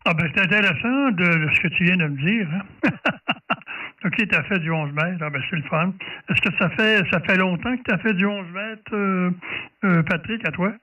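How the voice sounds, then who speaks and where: A man's voice: high voice, moderate pace, bright, forceful tone. A man in his sixties or older with a Québécois French accent, chatting casually on amateur radio and repeating his phrases. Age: 60s or older. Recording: distant, phone-like radio audio.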